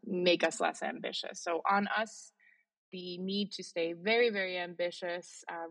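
A woman's voice: fairly low pitch, vocal fry. A Belgian woman in her forties whose first language is Flemish, speaking with an American accent as an interview guest, earnest and emphatic with frequent fillers. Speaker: guest, sustainability leader in the chocolate industry. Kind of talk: interview conversation.

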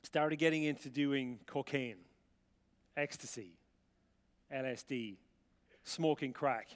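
A man's voice: medium pitch, takes it slowly. A middle-aged Irish man from the rural midlands, telling his life story to an audience with chatty, self-mocking humour and acted-out dialogue. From Ireland. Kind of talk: storytelling talk to a live audience. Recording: reverberant hall sound.

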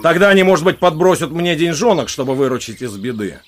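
An actor playing a bold, fair-minded outlaw leader in an audio drama, speaking with deliberate authority. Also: male voice, on the high side, expressive, close to an everyday voice. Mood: accusing. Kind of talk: Russian audio drama acting.

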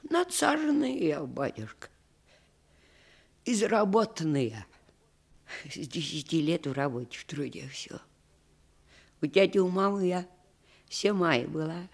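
An old country grandmother in a radio drama, scolding and doting in folksy dialect. The voice is husky and slow, swinging widely in pitch, with music underneath. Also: very animated, exaggerated voice. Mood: weary. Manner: folksy dialect speech with lamenting exclamations. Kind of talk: radio play acting.

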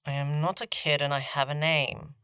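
A woman's voice: deep voice, flat delivery, normal speed, a bit bright, smooth, no room sound. A woman voicing a practical, world-weary youngster, a terse and wry boy in a fantasy audio drama. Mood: guarded. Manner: terse, matter-of-fact, dry.

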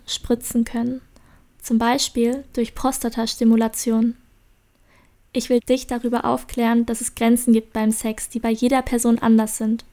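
Calm woman speaking directly to you. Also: on the high side. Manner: direct, calm address to the listener. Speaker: performer in an audio play. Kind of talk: scripted educational radio play reading.

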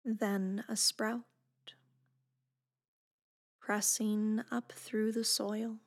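A woman leads a guided meditation in a soft, breathy voice, giving gentle, unhurried instructions with phrase endings that fall close to a whisper. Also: mid-range voice, little pitch movement.

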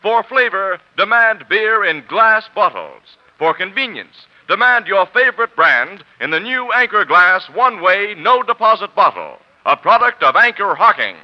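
A man's voice: high voice, big pitch swings, normal speed, few pauses. A man working as a radio announcer, delivering a scripted 1940s commercial with emphatic, polished salesmanship. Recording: muffled old radio broadcast.

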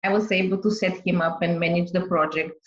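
A woman's voice: lowish voice, steady tone, brisk, reverberant, lo-fi sound. A woman from Bulgaria, a business owner, answering podcast interview questions carefully, with light laughs.

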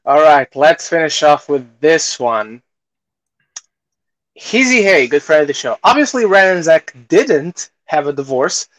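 A man's voice: on the high side, sing-song, measured pace, fairly bright tone, no echo. A man hosting a podcast in a casual, conversational chat style. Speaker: podcast host.

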